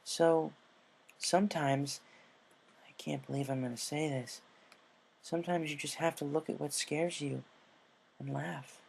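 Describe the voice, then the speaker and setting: A man voicing a brave young female pegasus, speaking softly and earnestly like a reassuring big sister in a story reading. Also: fairly high pitch, fairly even intonation, unhurried, vocal fry, long pauses, breathy edge, voice pushed up, full character voice. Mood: soft, candid.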